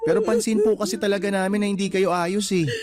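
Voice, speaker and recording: A man's voice: high-pitched, fairly even intonation, fast, a little mellow, faint music behind, lightly acted. A man playing a protective young adult son in earnest, probing radio drama dialogue. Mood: worried.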